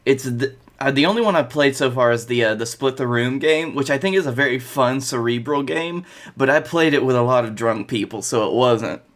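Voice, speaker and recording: A man's voice: mid-range voice, brisk. A young man co-hosting a wrestling podcast, chatting casually and playfully. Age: young adult. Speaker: podcast co-host. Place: bedroom at home, on a video call.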